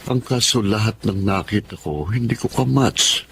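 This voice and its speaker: A man's voice: lowish voice, moderate pace, creaky. An actor playing a sad, ailing wealthy family man in a Filipino radio drama, weary and earnest. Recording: faint background noise.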